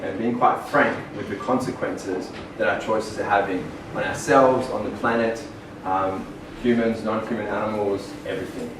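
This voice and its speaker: Australian male speaker, earnest talk, distant mic, echoey room. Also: fairly low pitch. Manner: earnest, with many ums and restarts. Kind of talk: public presentation. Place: small venue, far from the mic. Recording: echoey room from far off, noisy.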